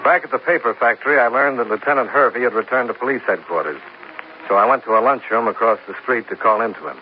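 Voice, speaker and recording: An actor playing a terse insurance investigator who narrates and questions in a 1950s radio detective drama, clipped, dry and wry. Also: male voice, mid-range voice, quick pace, over music, close to an everyday voice. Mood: narrating. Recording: dull old radio recording with faint hiss.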